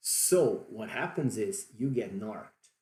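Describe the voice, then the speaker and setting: A man's voice: lowish voice, lively intonation, slow, darkish tone, echoey room. A Brazilian man in his forties explaining at length with many fillers and restarts, in a Brazilian accent. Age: 40s. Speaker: diving vlogger. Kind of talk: unscripted explanation.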